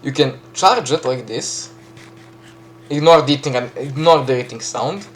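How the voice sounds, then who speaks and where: A man with a Serbian accent casually walking through a game map he built, chatty, full of fillers and quick self-corrections. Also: mid-range voice, soft background music, poor audio. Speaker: map creator giving a tour. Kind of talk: casual gameplay walkthrough.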